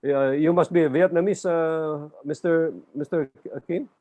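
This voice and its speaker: A man moderates a panel discussion in a hesitant, chatty way. His voice sits a little high. The sound is dry and close, with no room echo. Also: steady tone, a little slow, narrow, tinny audio. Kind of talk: hesitant conversational moderating, many fillers.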